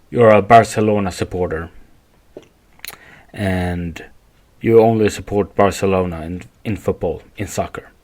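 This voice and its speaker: Slow, low-voiced Swedish man podcasting. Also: darkish tone. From Sweden. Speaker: podcast host. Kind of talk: rambling solo podcast monologue.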